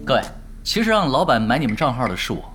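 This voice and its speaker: An actor playing a laid-back, dryly unhurried retired pro gamer in an audio drama. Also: male voice, mid-range voice, sing-song, over music, close to an everyday voice. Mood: casual, dry. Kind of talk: Chinese audio drama acting.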